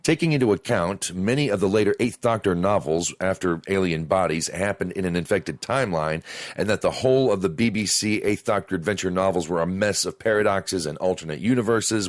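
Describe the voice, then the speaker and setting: A man with a very low voice narrates a scripted review. The sound is dry and close, and his delivery is measured, that of a fan presenting to listeners. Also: no room sound. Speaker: audio drama reviewer.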